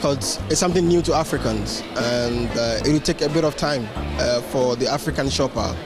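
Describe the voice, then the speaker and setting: A man from Ghana, a startup founder, describing his company in a hesitant interview pitch full of fillers. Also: moderate pace. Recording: music bed underneath.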